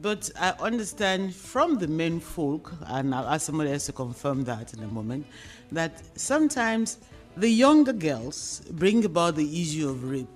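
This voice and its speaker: A woman with a Nigerian accent hosting a radio discussion programme, phrasing her questions and points deliberately and emphatically. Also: low voice, very animated, soft background music.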